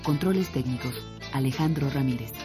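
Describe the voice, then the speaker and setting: Radio announcer reading off credits, formal and clipped, medium pitch, music under it. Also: fairly quick.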